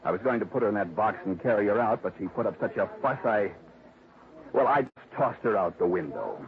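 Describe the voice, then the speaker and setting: A man's voice: on the low side, animated, fairly quick, a little hiss or hum. An actor playing a callous, self-justifying publicity man in a 1950s radio drama, explaining himself defensively. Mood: callous, resigned. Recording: tinny, narrowband old radio recording.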